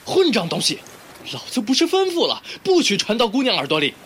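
A man playing a gruff, hot-tempered, domineering young bandit chief in an audio drama, speaking forcefully with a swagger. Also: extremely high pitch, wildly swooping pitch, brisk, a little hiss or hum, exaggerated voice. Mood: angry. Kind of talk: audio drama acting in Mandarin.

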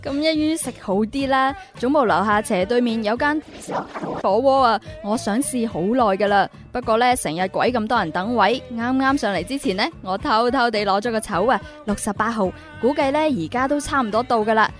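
A woman playing a young rookie agent, chatty, pushy and eager in a radio play. Her voice sits fairly high, with some music in the background. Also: moderate pace, lightly acted. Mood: chatty, excited. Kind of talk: Cantonese radio drama acting. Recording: music faintly behind the voice.

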